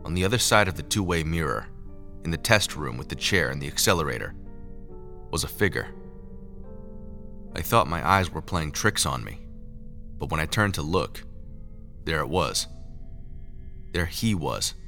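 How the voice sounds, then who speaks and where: A man playing a haunted lab scientist, narrating a scripted audio drama in a measured, brooding way. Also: very deep voice, expressive, vocal fry, pushed and bright, exaggerated voice. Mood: brooding, grave. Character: haunted male lab scientist recounting secret experiments. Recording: music under the voice. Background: music.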